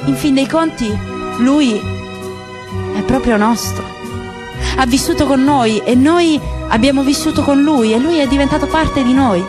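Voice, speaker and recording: An earnest, gentle middle-aged father who has lost much, as a woman reads him aloud. He sounds soft, measured and high-pitched, with music playing clearly behind the voice. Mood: pleading. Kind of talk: radio drama reading.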